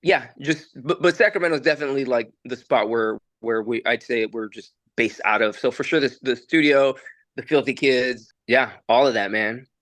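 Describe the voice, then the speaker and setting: A man's voice: mid-range voice, normal speed, fairly bright tone, dry recording. A young man from El Salvador, a musician and studio producer, chatting casually in a recording studio and talking in a loose, rambling way with lots of fillers and restarts. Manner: laid-back, rambling, many fillers and restarts. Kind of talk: casual podcast conversation.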